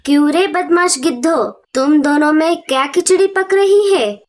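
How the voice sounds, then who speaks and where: A woman's voice: high-pitched, a little slow. A woman voicing a feisty, sassy bird auntie who scolds, in a children's cartoon. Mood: scolding. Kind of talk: Hindi children's cartoon dialogue.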